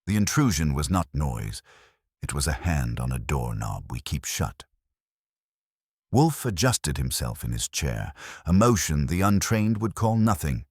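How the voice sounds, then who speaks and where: A man's voice: very deep voice, a little mellow, slightly rough, no echo. A man reading a hard-boiled detective story in the first person as scripted audiobook narration, with a dry, wry manner.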